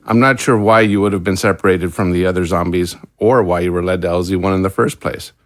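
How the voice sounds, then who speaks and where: Guy playing a guilty scientist prisoner in an audio drama, kinda low, articulate, resigned, very dry recording. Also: steady tone, brisk, no echo, natural voice. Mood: resigned, grim. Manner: articulate, formal, regretful. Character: guilt-ridden imprisoned male scientist, resigned and bitter.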